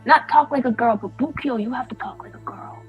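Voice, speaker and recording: A woman's voice: a little high, fairly quick, faint music behind. A woman player in a tabletop roleplaying game, chatting in a casual, playful way.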